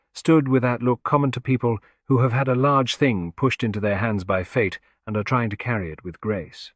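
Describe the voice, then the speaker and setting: A man narrating a first-person mystery audiobook in a measured, literary manner. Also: medium pitch, dry recording, studio quality.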